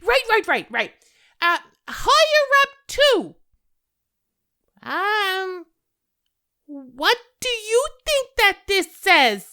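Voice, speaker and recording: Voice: extremely high pitch, very animated, drawn-out words, long pauses, bright, forceful tone, heavily acted. A performer playing a fussy, childlike office underling in a comic audio drama, flustered and given to exclaiming. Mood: flustered, defensive.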